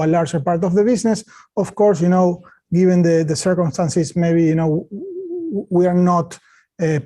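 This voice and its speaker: Male company executive, high-set mellow voice, halting answers on an earnings call. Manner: halting, repeats words.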